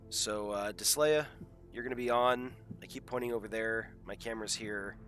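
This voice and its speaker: Congested man streaming, bright forceful voice. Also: lowish voice, fairly even intonation, moderate pace, soft background music. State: congested from a head cold. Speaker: host of a live game stream. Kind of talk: casual live-stream chat with viewers. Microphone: headset.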